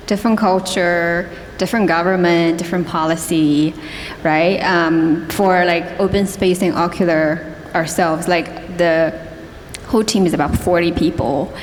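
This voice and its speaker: A woman speaks as an executive on a conference panel. Her voice is very low for a woman, and she talks in a plain, measured way. A long hall reverb follows her, with faint ambience under it. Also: slight background noise.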